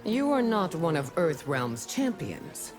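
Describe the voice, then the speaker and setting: Strict royal empress in a game, slowish, lowish voice, faint music under it. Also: female voice, very animated, darkish tone. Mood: stern, threatening. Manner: regal, stern, measured. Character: stern imperious empress, a protective mother. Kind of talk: video game acting.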